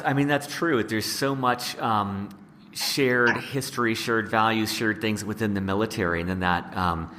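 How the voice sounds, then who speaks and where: The host of an interview podcast, a man with a fairly low voice, easing haltingly into questions over faint noise. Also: pushed and bright. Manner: tentative, restarts questions and gropes for words. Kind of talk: conversational interview. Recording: long reverb, faint background noise.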